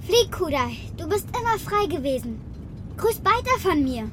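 A woman's voice: very high voice, big pitch swings, normal speed, fairly bright tone, slight background noise, exaggerated voice. A young woman playing a plucky, plain-spoken girl of the steppe in a German children's radio play, matter-of-fact in manner. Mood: tender.

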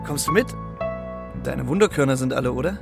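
Brash, slangy bird over loud music. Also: mid-range voice, animated, brisk, gravelly. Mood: brash, eager. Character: cocky, greedy, streetwise bird, a short-distance flier. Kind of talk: German children's radio play acting.

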